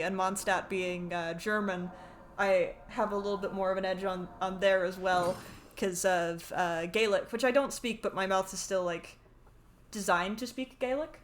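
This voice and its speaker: Slow, chatty woman streamer. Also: mid-range voice. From Canada. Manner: excitable, rambling, chatty. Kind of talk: casual live game stream chat.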